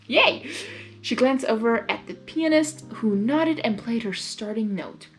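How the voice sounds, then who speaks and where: A woman reading fan fiction aloud as a host, gushing and giggly, breaking in with excited asides. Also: on the high side, a little slow, faint music behind, poor audio.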